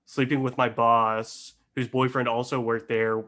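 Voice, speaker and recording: A man speaks at a middling pitch in loose, informal podcast conversation. His phrasing is slangy and conversational. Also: little pitch movement, unhurried, bright, forceful tone. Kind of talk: casual podcast chat.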